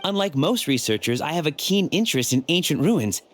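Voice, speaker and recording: A slightly high-voiced, keen researcher explaining lore; game dialogue. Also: male voice, sing-song, brisk. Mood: eager. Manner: expository, enthusiastic lecturing. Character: adult male researcher, eager history enthusiast. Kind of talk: video game voice acting.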